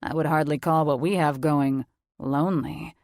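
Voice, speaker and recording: A woman voicing a mature, self-assured man who plays along as a fake fiancé, smooth and teasing. It is read as a storybook figure. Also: low voice, steady tone, normal speed, darkish tone, dry recording, studio quality, voice pushed down. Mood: smug, assured.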